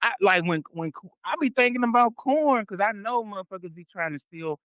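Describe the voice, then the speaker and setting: High-voiced man in his thirties, blunt. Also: lively intonation, fairly quick, smooth, dry recording. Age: 30s. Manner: blunt, emphatic, profane ranting. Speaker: podcast co-host. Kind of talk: casual podcast chat. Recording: dull, dry, lo-fi sound.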